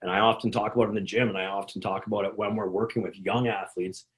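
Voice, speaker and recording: A man with a gravelly, slightly low voice explains conditioning to fellow coaches. He rambles, doubling up words and restarting sentences as he goes. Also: fairly even intonation, fast. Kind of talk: instructional talk to coaches.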